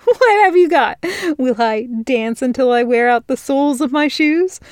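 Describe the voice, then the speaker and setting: A woman's voice: high-pitched, sing-song, unhurried, no echo, clean studio sound, voice pushed up. Woman doing a smug, teasing village girl. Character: bold, smug young human village girl.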